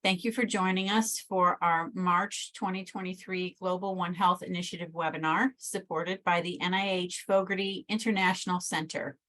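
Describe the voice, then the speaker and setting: A woman's voice: fairly low pitch, a bit bright, rough recording. A woman speaking as a webinar moderator, giving a formal, prepared introduction over a video call.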